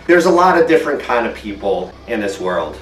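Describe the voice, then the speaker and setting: A man's voice: mid-range voice, big pitch swings, fairly quick, echoey room, far from the mic, soft background music, poor audio. A man from Portugal, a car vlogger, talking bluntly and swearing freely in a casual monologue at home in front of a green screen. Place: inside his house, in front of a green screen.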